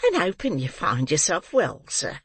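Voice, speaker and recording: A man's voice: a little high, huge pitch swings, moderate pace, fairly bright tone, husky, no echo, full character voice. A man voicing a fawning, gushing woman who keeps a foster farm, exclamatory and coaxing in a storybook reading. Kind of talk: audiobook character reading.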